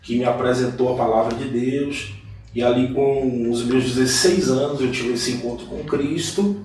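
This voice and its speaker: A man from Salvador, a guest pastor telling his life story in a measured, earnest way in a podcast interview. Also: mid-range voice, steady tone, a little mellow, distant mic. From Salvador, Bahia, Brazil. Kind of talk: podcast interview, personal testimony. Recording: echoey room, voice from off-mic.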